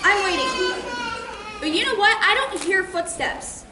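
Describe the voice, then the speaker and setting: A woman's voice: extremely high pitch, animated, measured pace. A young woman playing an indignant, sarcastic girl who menaces suspected thieves in a theatrical stage monologue. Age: teenager or young adult. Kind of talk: high school stage monologue. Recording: noisy, echoey theater stage recording.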